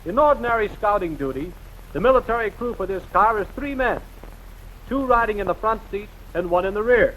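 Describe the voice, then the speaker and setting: A man's voice: high voice, lively intonation, fairly quick, fairly bright tone, slight background noise, rough recording. An American man narrating a scripted 1940s newsreel in a formal, emphatic, rousing announcer's delivery, on a vintage film soundtrack.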